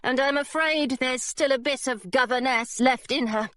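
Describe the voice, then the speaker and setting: Woman playing an eager, high-pitched pirate girl. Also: moderate pace, a bit bright, no room sound, clean studio sound. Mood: confiding. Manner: eager, well-mannered. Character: eager, polite, spirited young pirate girl.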